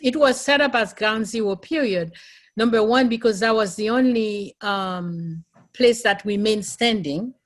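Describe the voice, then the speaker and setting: A middle-aged Haitian woman who is a nurse and educator, telling her story in an earnest, thoughtful way with pauses and fillers. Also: mid-range voice. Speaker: nurse and nursing educator, interviewee. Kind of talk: recorded interview, personal recollection.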